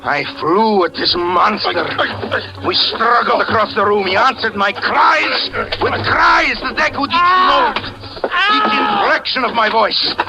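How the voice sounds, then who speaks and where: A man's voice: high voice, lively intonation, a little slow, over music, low-quality recording. An actor in 1940s radio acting style, playing a haunted man who breathlessly recounts his own murder. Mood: dread. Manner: intense, breathless dramatic narration.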